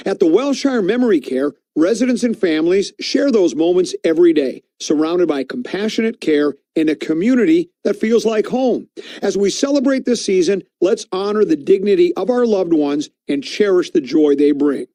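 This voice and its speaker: A man's voice: high voice, animated, normal speed, mellow, no echo. A man reading a scripted radio advertisement as its narrator. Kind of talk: scripted holiday-greeting radio ad.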